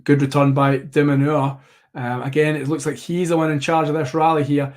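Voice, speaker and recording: Rambling, slightly hoarse male commentator. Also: a little high, little pitch movement, a little fast. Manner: rambling, self-correcting, many fillers. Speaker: host of a live tennis watchalong. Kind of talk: live match commentary.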